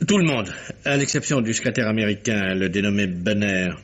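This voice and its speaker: Man playing a husky, wry detective. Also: lowish voice, lightly acted. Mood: explanatory. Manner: measured, ironic, courteous. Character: thoughtful, wry male amateur detective, urbane and sharp. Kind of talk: mid-20th-century French radio mystery acting. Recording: muffled vintage radio recording.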